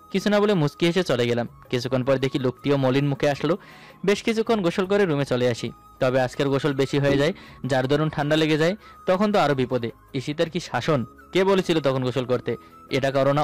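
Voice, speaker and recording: A man narrating a scripted romantic story in the first person. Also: on the high side. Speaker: narrator of romantic audio stories. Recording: voice over background music.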